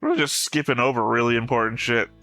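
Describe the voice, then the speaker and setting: A man's voice: mid-range voice, fairly even intonation, fast talker, pushed and bright, soft background music. A man casually commentating on a video he is watching, in a conversational, opinionated way. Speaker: reaction show host.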